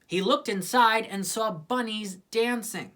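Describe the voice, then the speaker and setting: A woman narrating a children's storybook in an expressive scripted reading style. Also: a little high, a little slow. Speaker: storybook reader.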